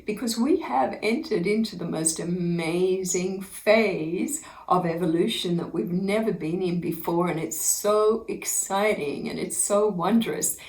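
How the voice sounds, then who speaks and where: A woman, a spiritual teacher, telling inspirational stories about her own life in an unhurried, earnest way, often breaking into laughter. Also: lowish voice, darkish tone, reverberant. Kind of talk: inspirational personal storytelling to camera.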